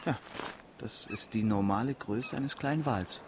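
A man's voice: mid-range voice, unhurried, noisy background, natural voice. A man playing an earnest, eager young marine biology student in a radio drama, polite and matter-of-fact. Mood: focused. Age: young adult.

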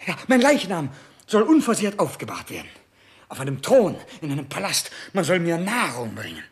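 An actor playing a grandiose, self-obsessed dying king terrified of death, theatrical in a 1960s German radio drama, with a husky, gravelly voice. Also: on the high side, huge pitch swings, exaggerated voice. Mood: grandiose, commanding. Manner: theatrical, slow declaiming, swinging between bombast and faint pleading.

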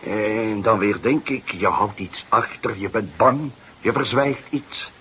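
A middle-aged Dutch police inspector speaks in a low voice. He is genial but shrewd, drawing out his interjections like a patient uncle. The radio drama recording carries faint background noise. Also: slow pace, pushed and bright. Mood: pensive. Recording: noisy, dated radio play recording.

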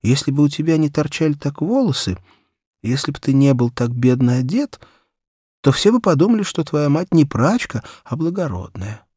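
A man narrating a children's story in an expressive, theatrical first-person reading, moving between hushed and excited delivery. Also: fairly high pitch, moderate pace, husky, no echo, clean studio sound. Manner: theatrical, animated, swinging from hushed whispers to excited exclamation. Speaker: audiobook narrator.

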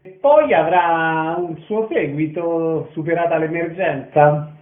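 A man's voice: fairly high pitch, slow. A man hosting a live radio interview, putting questions to his guests. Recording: echoey, narrow phone-like sound.

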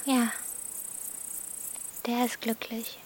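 A woman's voice: on the high side, level tone, drawn-out words, soft background music. A woman playing a level-headed thirteen-year-old girl, terse and matter-of-fact, in a radio drama. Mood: subdued. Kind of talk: naturalistic radio drama acting.

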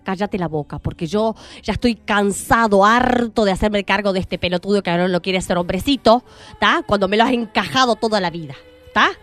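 A woman with an Argentine accent playing an exasperated middle-aged male carpenter who shouts and scolds his wife, in a radio comedy sketch. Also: mid-range voice, fairly quick, close to an everyday voice. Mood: fed up.

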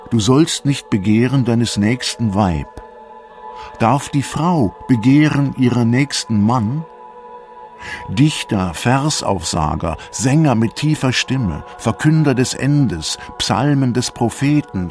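Langsam grübelnder, frommer Mann. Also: male voice, mid-range voice, darkish tone. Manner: brooding, measured, incantatory. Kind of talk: poetic scripted radio drama monologue.

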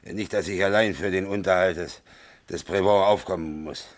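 An actor in a German radio drama playing a curt, self-satisfied old government minister with a deliberate manner who repeats his words. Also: deep voice, fairly even intonation, close to an everyday voice. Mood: smug. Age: older.

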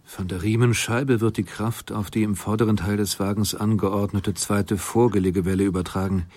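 A man playing a methodical inventor who recounts his technical work in a measured, matter-of-fact way, as in a scripted memoir. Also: lowish voice, steady tone, darkish tone, no echo, close to an everyday voice. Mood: sober, explanatory. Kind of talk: scripted first-person memoir reading.

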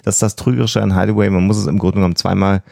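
A man's voice: low voice, little pitch movement, racing, creaky, a little mellow, dry recording, studio quality. A man explaining things conversationally as a podcast co-host, often repeating words for emphasis.

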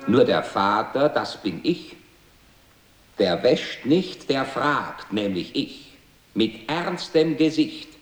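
Radio play: self-important father, slow declamation, low pitch, roomy echo. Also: very animated. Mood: solemn. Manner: declamatory, repetitive. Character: grave, self-important father puzzling over wine. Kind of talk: sing-song radio play verse. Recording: echoey, distant, faint music.

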